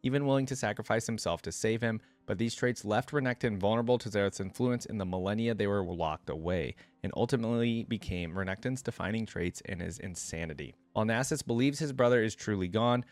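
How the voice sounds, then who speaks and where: Male reaction host, lowish pitch, close dry recording, reads text aloud with casual asides. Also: dry recording. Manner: informal, thinks aloud with frequent "like". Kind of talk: reading lore aloud with casual commentary.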